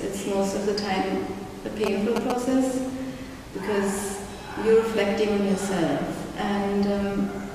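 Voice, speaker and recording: A young woman, an artist talking reflectively in an interview, often pausing and restarting as she searches for words. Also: medium pitch. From Germany. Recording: echoey room, mic far off, background noise.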